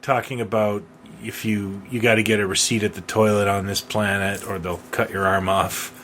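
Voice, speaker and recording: A man co-hosting a podcast in casual chat, talking haltingly and often repeating the start of his sentences. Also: lowish voice, level tone, a bit bright, a little hiss or hum. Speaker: podcast co-host.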